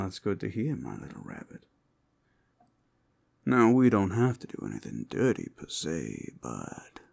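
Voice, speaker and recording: A man playing a flirtatious, teasing werewolf in an intimate ASMR roleplay, with a husky, gravelly voice that often drops toward a whisper and a playful, seductive drawl. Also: rumbling low, darkish tone, exaggerated voice. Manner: slow, playful, seductive drawl with pet names. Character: flirtatious, teasing male werewolf companion in a fantasy world. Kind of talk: ASMR roleplay, intimate scripted acting to the listener.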